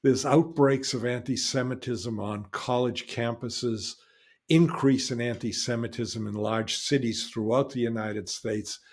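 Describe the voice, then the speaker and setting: Male podcast host, formal announcer-style intro read, mid-pitched voice. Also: a little slow. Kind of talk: reading a scripted guest introduction.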